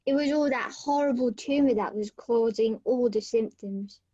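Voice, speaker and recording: A woman's voice: fairly high pitch, moderate pace, mellow, slightly rough, no echo. A woman playing a relieved, recovered patient in an amateur radio play. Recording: dull, dry lo-fi home recording.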